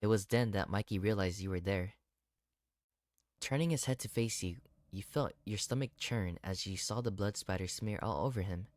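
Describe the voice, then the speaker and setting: A man's voice: on the low side, normal speed, darkish tone, no echo, studio quality. A man hosting a gaming video, reading visual novel narration aloud and reacting to it expressively.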